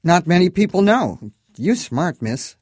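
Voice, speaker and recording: Narrator doing a kindly Moldovan cab driver, higher and louder, clipped broken english, dry sound. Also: male voice, very animated, a little slow, no echo, full character voice. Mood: friendly. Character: Moldovan immigrant male cab driver, chatty and kind.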